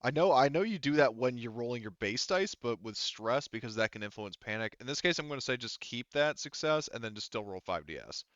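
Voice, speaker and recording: A man's voice: mid-range voice, lively intonation, fairly quick, hardly any pauses, fairly bright tone, no room sound, highs cut off. A man talking casually and informally as game master of an online tabletop roleplaying game, thinking through the rules aloud.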